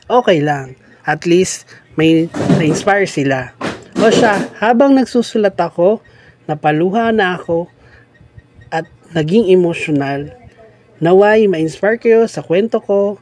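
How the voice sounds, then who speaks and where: A man's voice: sits high, animated, measured pace, long pauses, darkish tone. Filipino man hosting a bedtime story show. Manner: switches between Tagalog and English. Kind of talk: reading listener letters aloud.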